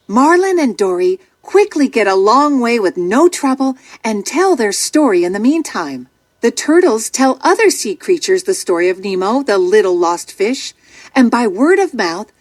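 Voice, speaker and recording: A woman narrating a scripted children's story in an expressive, dramatic way. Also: a little high, dry recording.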